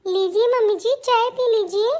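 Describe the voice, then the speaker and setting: Woman voicing a very high, dutiful young daughter-in-law. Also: normal speed, a little mellow. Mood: sweet, caring. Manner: deferential, earnest. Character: dutiful, sweet young Indian daughter-in-law, eager to please. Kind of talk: melodramatic family cartoon dialogue.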